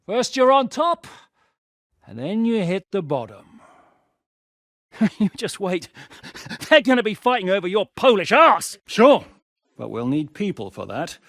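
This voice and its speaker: An actor playing a gruff, battle-hardened rebel commander in a video game, barking orders, swearing and mocking his foes. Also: sits high, big pitch swings, a little slow, long pauses, fairly bright tone, dry recording, studio quality, exaggerated voice. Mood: commanding.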